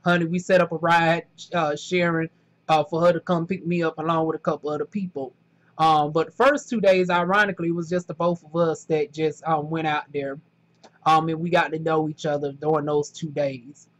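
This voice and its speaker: A man with a thick Southern US accent and a notably high voice talks straight to camera. He rambles chattily through his story, peppering it with "honey" and "y'all" in a relaxed vlog style. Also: a little fast, muffled recording. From southern United States. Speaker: vlogger.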